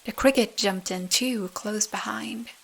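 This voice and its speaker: A woman narrating a bedtime story in soft, breathy, soothing ASMR style. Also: mid-range voice. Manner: slow, soothing. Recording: rain sounds behind the voice. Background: rain.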